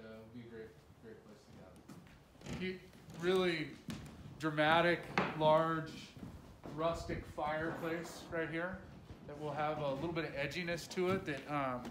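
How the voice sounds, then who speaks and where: A man leading a casual walking tour of a building site, pointing things out with frequent fillers. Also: mid-range voice. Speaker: tour guide. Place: large unfinished timber building under construction. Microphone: camera from a distance. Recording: echoey room, distant mic, background noise.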